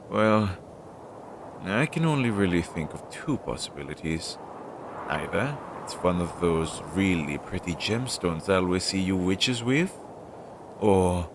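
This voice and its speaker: A man playing an anxious, talkative demon crow familiar in an audio roleplay, speaking hesitantly with sighs and chatty asides to his master. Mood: curious, thoughtful. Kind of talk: scripted audio roleplay addressed to the listener. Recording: noisy low-quality home recording.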